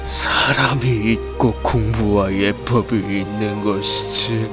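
A man voicing a bitter young Joseon crown prince pleading with his father in a historical audio drama, slow and resentful. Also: on the low side, steady tone. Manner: slow, resentful delivery, breaking into pleading cries. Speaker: voice actor. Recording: with music underneath.

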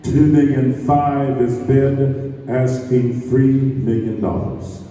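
A man working as an auctioneer, calling out bids in a drawn-out, chanting way, recorded from the audience. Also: medium pitch, fairly even intonation, dark tone. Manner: drawn-out, chanting bid calls. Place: large hall with stage. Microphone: podium mic, filmed from the audience. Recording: echoey hall from far off.

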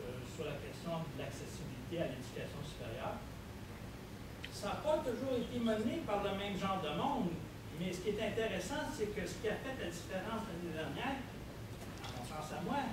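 An emphatic middle-aged man with a Quebec French accent speaks up from the audience, correcting the record in an argumentative tone. His voice sits a little high and reaches the camera from across a bare, echoing lecture room, under a heavy layer of room noise. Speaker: audience member. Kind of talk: impassioned debate remarks. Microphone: far camera. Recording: echoey room from far off, loud background noise.

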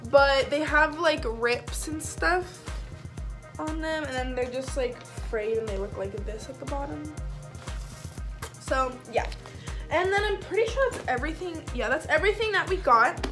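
A woman's voice: high-pitched, normal speed. A young American woman chatting in casual, slangy speech to the camera in a bedroom, as in a clothing haul vlog. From lives in Las Vegas, United States. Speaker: video blogger. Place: small bedroom, indoors. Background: background music.

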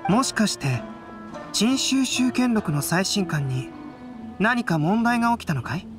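An actor playing a calm, unflappable young male artist who explains things in a composed, reasoned way in a game cutscene. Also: sits high, a little slow, over music. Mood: composed, analytical. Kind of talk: Japanese game cutscene acting.

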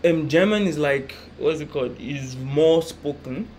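A man's voice: fairly high pitch, a little slow, a little mellow. Young man, casual couch chat, hesitant, searching for words, faint room hiss. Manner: hesitant, keeps saying "what's it called". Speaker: vlog co-host. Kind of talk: casual chat comparing countries. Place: indoor living room, seated on couch.

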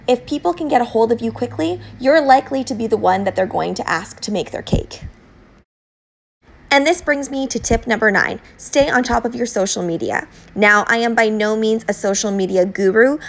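A woman delivers an instructional voice-over in a fairly high voice with noticeable vocal fry. She explains things informally, like a small-business owner sharing tips, and leans on the important words. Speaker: home baker giving business tips.

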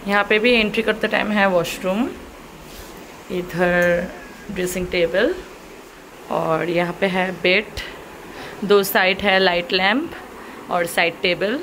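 A woman's voice: medium pitch, a bit bright. Young woman vlogging a hotel tour on her phone, chatty, lots of hiss and outdoor noise behind her. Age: young adult. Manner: chatty, exclaims often. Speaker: travel vlogger. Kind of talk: casual travel vlog narration. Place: hotel room, then outdoor rooftop pool. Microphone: handheld phone at arm's length. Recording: noisy phone audio with ambience.

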